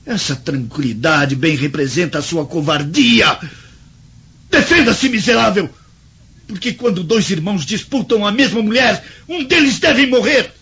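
An actor with a Brazilian accent playing a jealous, proud Roman brother in a declamatory radio drama style. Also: male voice, high-pitched, lively intonation, measured pace, bright, forceful tone, slightly rough, exaggerated voice. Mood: furious. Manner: declamatory, theatrical delivery. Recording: muffled radio drama with music.

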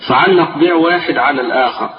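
A man with an Egyptian accent teaching a religious lesson. His voice is tired and strained. He reads a text slowly, then explains it in colloquial speech and repeats the key phrases. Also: fairly high pitch. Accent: Egyptian Arabic. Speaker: sheikh teaching a class. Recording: distant, echoey, dull-sounding lecture recording with music.

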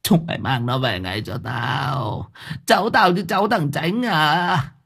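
A man's voice: sits high, huge pitch swings, normal speed, fairly bright tone, slightly rough, voice pushed up, heavily acted. A man voicing a ravenous, possessed old grandmother who screeches curses and snarling threats at her family. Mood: furious.

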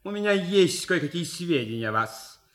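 Radio comedy: pushy young magazine reporter, very high male voice, flowery and effusive. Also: sing-song, normal speed, a bit bright. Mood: insistent. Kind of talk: theatrical radio play acting.

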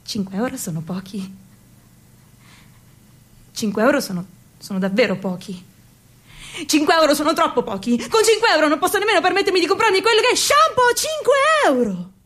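A young woman with a high voice performs a theatrical radio monologue. She swings between soft, near-whispered lines and loud, declaimed ones. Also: sing-song, a little fast, long pauses. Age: young adult.

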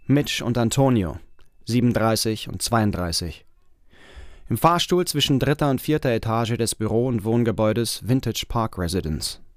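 A man's voice: mid-range voice, expressive, moderate pace, dry recording, studio quality, lightly acted. A man reading scene-setting narration as a sober announcer in a radio play. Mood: sober, factual. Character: sober announcer of time, place and characters.

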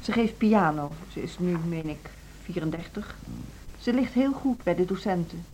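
Actress as composed middle-aged school directress, noisy recording. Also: female voice, on the low side, animated, mellow, lightly acted. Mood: composed, businesslike. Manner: formal, measured, careful phrasing. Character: 55-year-old music school directress, composed and businesslike. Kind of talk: naturalistic radio drama dialogue. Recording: radio play with clear background noise.

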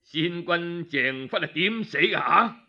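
A man's voice: fairly high pitch, animated, a little slow, muffled recording, exaggerated voice. A man with a Cantonese accent voicing a cruel, domineering duke in a story, sneering as he interrogates. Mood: demanding. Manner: sharp, mocking, interrogating.

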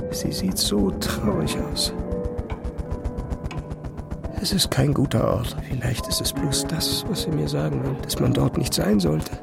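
A man's voice: medium pitch, creaky, full character voice. An actor playing an obsessed, haunted male physicist in a radio drama, urgent and dreamy, with inner monologue. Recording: loud music behind the voice. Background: music.

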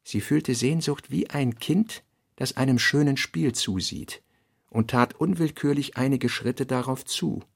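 A man's voice: medium pitch, unhurried, vocal fry, husky, no room sound, clean studio sound. A man reading literary prose as the narrator of a radio drama.

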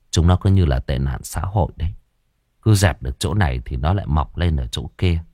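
A man's voice: low voice, darkish tone, no echo, studio quality. A man voicing a world-weary, stern village spirit master who talks in a brooding mutter.

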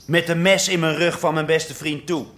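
An actor playing a wounded, touchy young boyfriend in a naturalistic radio drama. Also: male voice, fairly high pitch, steady tone, normal speed, a bit bright, natural voice. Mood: bitter, sarcastic.

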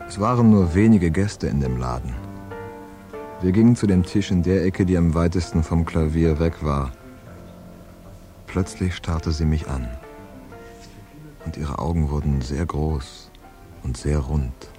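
An actor playing a laconic, world-weary hard-boiled man in a radio crime play, understated and deadpan. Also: extremely low pitch, measured pace, pauses often, soft background music. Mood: deadpan, reflective. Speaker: narrator and lead character in a radio crime play. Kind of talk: scripted hard-boiled first-person narration and dialogue.

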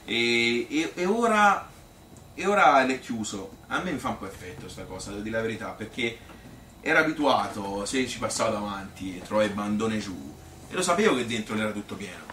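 A man's voice: fairly low pitch, sing-song, a little fast, sharp tone. A male actor playing a chatty Tuscan man who reminisces about his youth in a colloquial, rambling stage monologue, full of repetitions and appeals for agreement. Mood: wistful. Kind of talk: spontaneous-sounding theatrical storytelling. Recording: echoey room, distant mic, faint noise.

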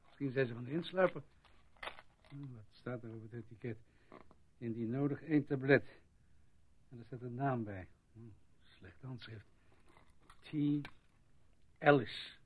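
An actor playing a gossipy, grumbling village doctor in a radio play. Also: male voice, mid-range voice, measured pace, long pauses, a little hiss or hum, natural voice. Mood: matter-of-fact. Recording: muffled, phone-like lo-fi radio play.

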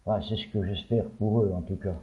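A man's voice: lowish voice, steady tone, a little fast, phone-quality sound. A man from Belgium giving his opinions in a panel discussion, hesitant with many fillers. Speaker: panel guest.

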